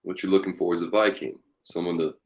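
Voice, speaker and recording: A man acts an old West army captain with schemes in mind. The voice is low and deep. He speaks haltingly, doubling back on his words, in a read-through of a western script. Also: fairly even intonation, close to an everyday voice. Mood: scheming. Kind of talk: dramatic table read of a western.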